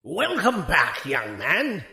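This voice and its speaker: A mild, older professor in a sci-fi audio drama. His speech is bright, slow and halting, rising and falling widely as he gently chides. The room around him echoes. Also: on the high side, wildly swooping pitch, gravelly, slight background noise, exaggerated voice. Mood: mild, reproving. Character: kindly, mild older male professor held captive.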